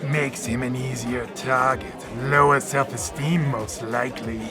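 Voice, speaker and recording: A man playing a sinister, sardonic tempter in a radio play, deliberate and calculating, distant under music. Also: mid-range voice, steady tone, natural voice. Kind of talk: live radio play acting.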